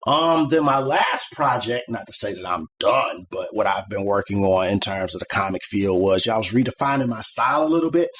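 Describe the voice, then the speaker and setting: A man's voice: fairly low pitch, animated, a little fast, hardly any pauses, a bit bright, slight background noise. A middle-aged man talking as a guest on a podcast, explaining his ideas in a loose, rambling way full of "like" and "you know". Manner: rambling and conversational, many "like" and "you know" fillers. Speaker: podcast guest, comic artist. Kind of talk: casual interview chat.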